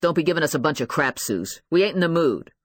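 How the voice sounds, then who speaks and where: A woman's voice: low-pitched, expressive, quick pace, dry recording, studio quality. A woman doing a tough, bullying male cop. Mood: sarcastic, aggressive. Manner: blunt, goading, slangy, demanding. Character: aggressive, bullying, tough male police investigator. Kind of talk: dramatised audiobook dialogue.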